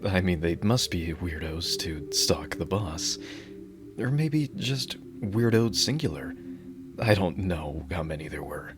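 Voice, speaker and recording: A man playing a dorky, lovestruck bodyguard in an ASMR roleplay, speaking in an awkward, halting, earnest way. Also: low-pitched, lively intonation, over music.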